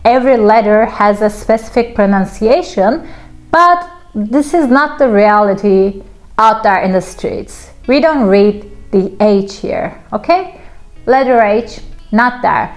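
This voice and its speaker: A woman in her thirties teaching a casual video lesson at home, animated and playful with lots of gestures. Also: medium pitch, a little slow. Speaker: language teacher. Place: home room with plants.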